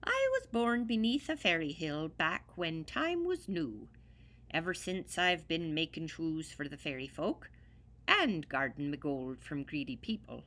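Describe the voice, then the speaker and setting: A woman's voice: low-pitched, a little slow, pitched below the performer's own voice, exaggerated voice. Woman doing a grumpy Irish leprechaun. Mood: grumbling. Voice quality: brogue-y dialect, very lively sing-song delivery. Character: grumpy old male leprechaun shoemaker, tiny, hoards gold. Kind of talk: storybook narration.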